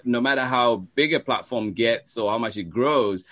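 A man's voice: medium pitch, normal speed, a bit bright. A man talking casually as an interview guest who hosts a children's storytime. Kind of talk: casual conversational interview talk.

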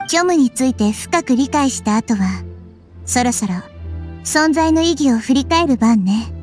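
High-voiced, wise little goddess girl. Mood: composed, stern. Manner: calm, measured, gently authoritative explaining. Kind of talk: anime game voice acting. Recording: music under the voice.

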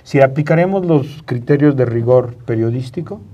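A Mexican man in his fifties explains his points as a studio guest. His voice is husky and soft-edged, with a dark, relaxed tone at an ordinary male pitch. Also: normal speed. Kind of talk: explanatory panel interview talk. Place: TV studio, indoors, round table. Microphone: lapel mic.

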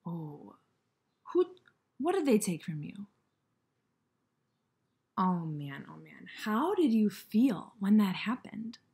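A woman's voice: medium pitch, big pitch swings, slow, gravelly, long pauses, darkish tone, husky. A woman, reading and asking questions as a kindergarten teacher. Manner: instructional, questioning, addressing children directly. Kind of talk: read-aloud lesson for young children. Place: indoor room with brick wall, by a window.

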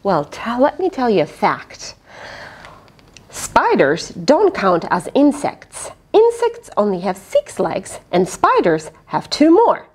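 A middle-aged woman reading a picture book aloud to children, expressive and teacherly, with questioning asides. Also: mid-range voice, slow, slightly rough. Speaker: children's storyteller, host. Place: small indoor fabric tent with cushions.

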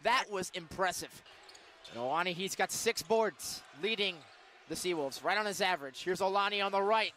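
A man calling basketball play-by-play on the radio, with excitable, punchy calls. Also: very high voice. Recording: arena crowd and court noise behind. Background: basketball game in an arena.

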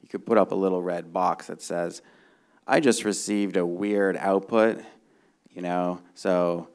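A middle-aged man with a low voice and a noticeable fry. He explains technical points informally as a panelist, checking that listeners follow. He speaks into a handheld microphone in a conference room. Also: steady tone, unhurried, a little mellow. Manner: explanatory, checks understanding with "right?". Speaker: conference panelist, software developer. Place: conference room stage before a seated audience.

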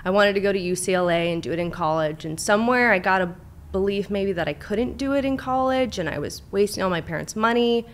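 A woman's voice: mid-range voice, fast talker. A young woman from Southern California, a therapist as guest, telling her life story openly and chattily, with many fillers, into a studio mic. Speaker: clinical director, therapist, guest. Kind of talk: casual interview, personal story. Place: studio with brick wall. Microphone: studio mic on boom arm, headphones.

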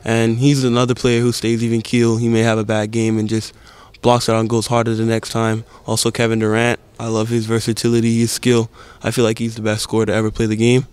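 A young man from the Bahamas talks through his basketball journey in a composed, even-keeled way. His voice is smooth and clean, and his pitch stays flat. He is picked up close on a reporter's handheld mic. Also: a little fast, dry recording. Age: teens. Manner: calm, even-tempered answers. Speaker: basketball prospect. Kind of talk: post-game press interview. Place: indoor camp media backdrop. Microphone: handheld reporter mic held close.

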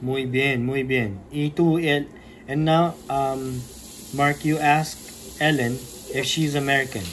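A man's voice: medium pitch, steady tone, slow pace, a bit bright, a little hiss or hum, poor audio. A man teaching a Spanish class over a video call, patiently prompting and correcting his students. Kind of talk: online class drilling.

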